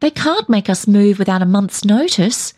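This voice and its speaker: A woman's voice: mid-range voice, big pitch swings, moderate pace, darkish tone, pitched above the performer's own voice. A woman reader voicing an anxious, wry wife in a story, plaintive and exclaiming.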